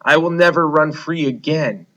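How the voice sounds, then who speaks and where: A man's voice: a little high, normal speed, sharp tone, voice pushed up. A man voicing a proud, boastful male lion, king of the jungle, in a storybook read-aloud. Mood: distressed. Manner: boastful, mocking. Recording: dull lo-fi recording.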